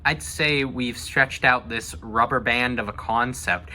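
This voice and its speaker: Young guy hosting a casual chat, bright punchy voice, noise in the background. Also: medium pitch, measured pace. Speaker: host. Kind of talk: casual chat about games and movies. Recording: clearly noisy background.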